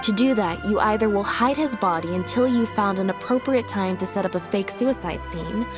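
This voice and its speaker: A woman playing a calm, deductive young detective in a video game, speaking in measured, articulate and deliberate exposition. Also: mid-range voice, close to an everyday voice. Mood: calm, certain. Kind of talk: scripted video game dialogue. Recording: music behind the voice.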